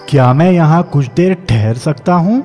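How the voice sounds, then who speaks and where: A woman's voice: deep voice, lively intonation, warm tone, faint music behind, voice pushed down. A woman voicing a sad, timid young male duckling, plaintive and rejected, in a children's storybook tale. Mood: forlorn, pleading. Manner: plaintive, forlorn.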